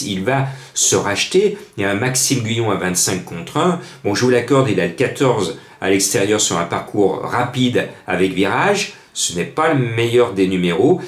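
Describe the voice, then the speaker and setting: Echoey, chatty male racing tipster. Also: on the low side. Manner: chatty, emphatic, repeats phrases for effect. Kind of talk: informal racing predictions, spoken off the cuff. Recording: echoey room sound.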